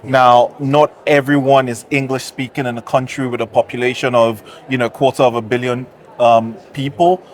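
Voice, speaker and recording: A man from Nigeria, a tech founder and guest, explaining his business in a measured, list-like way during an interview at a conference. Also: mid-range voice, little pitch movement, slight background noise.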